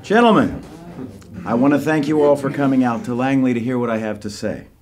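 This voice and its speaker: An actor playing a slick, polished civilian pitchman presenting a secret military program in an audio drama. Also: a little fast, music in the background, lightly acted. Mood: smooth, assured. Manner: smooth, polished, salesmanlike.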